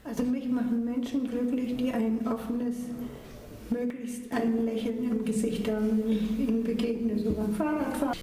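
A cheerful woman answers an interviewer in a raised, mellow voice that stays fairly flat in pitch. The recording is very echoey, with faint background noise. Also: moderate pace.

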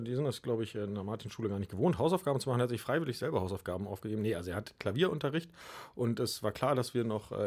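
A man giving casual, halting interview answers as a guest in a radio studio, pausing often as he goes. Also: medium pitch, a little mellow. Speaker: youth radio workshop leader, interview guest.

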